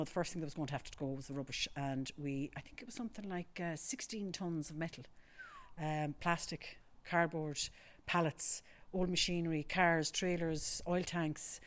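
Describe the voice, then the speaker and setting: An Irish woman sheep farmer, talking enthusiastically outdoors on her farm during a walking interview. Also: deep voice. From Ireland. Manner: chatty, enthusiastic storyteller.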